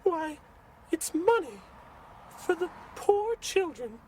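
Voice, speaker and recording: A sweet old nun from a comic radio play, extremely high-pitched, cooing and gently scolding. There is loud street noise behind the voice. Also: female voice, big pitch swings, slow, full character voice. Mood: coy, scolding. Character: sweet, pious elderly nun, coyly leading.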